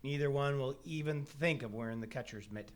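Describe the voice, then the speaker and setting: An actor playing a folksy, matter-of-fact son who explains things patiently in an old-time radio comedy. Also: male voice, mid-range voice, fairly quick, close to an everyday voice. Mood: dry, explaining. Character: dry, know-it-all son explaining patiently.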